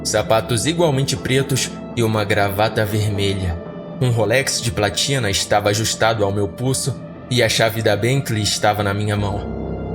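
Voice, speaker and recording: A man with a fairly low voice narrates a horror tale in the first person, in a measured and dramatic way. Loud background music plays under his voice throughout. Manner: measured, dramatic storytelling, voicing every character.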